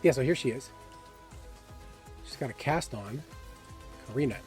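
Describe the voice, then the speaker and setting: A man's voice: mid-range voice, moderate pace, darkish tone, faint music behind. A man hosting a gaming video with casual, chatty commentary, thinking aloud and enthusiastic about the game. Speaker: gaming video creator and host.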